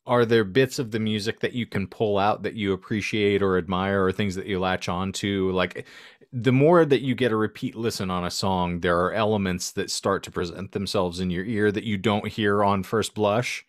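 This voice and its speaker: A man's voice: lowish voice, normal speed, no room sound, clean studio sound. A man hosting a casual podcast chat, articulate and a little wordy, with florid turns of phrase. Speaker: podcast host.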